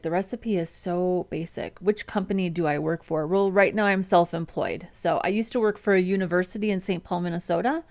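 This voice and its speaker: A woman's voice: on the low side, darkish tone. A woman in her thirties with a Midwestern American accent, chatting casually on a livestream and reading viewer comments, with many ums and restarts. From United States. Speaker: web developer streamer.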